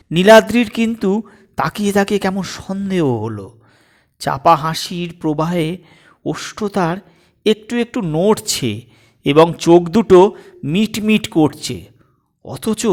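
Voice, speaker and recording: Slow, high-voiced man telling a story. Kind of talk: expressive scripted narration of a comic story.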